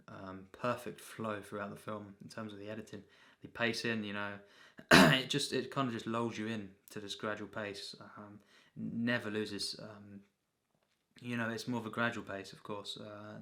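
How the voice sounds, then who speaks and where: A man giving an unscripted, rambling film review as a reviewer, in a halting, repetitive way full of filler phrases. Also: on the low side, little pitch movement, creaky, sharp tone.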